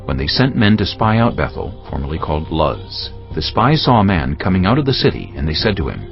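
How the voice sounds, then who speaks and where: A man narrating scripture from a script in a measured, solemn storytelling manner. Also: low voice, big pitch swings, creaky. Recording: dull lo-fi, music behind. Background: music.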